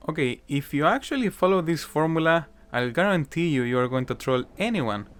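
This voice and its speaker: A man's voice: fairly high pitch, expressive, fairly quick, fairly bright tone, clear, resonant tone. Casual chatty man, improvised commentary. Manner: casual, chatty, frequent "you know" fillers. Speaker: gaming YouTuber. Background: faint guitar music.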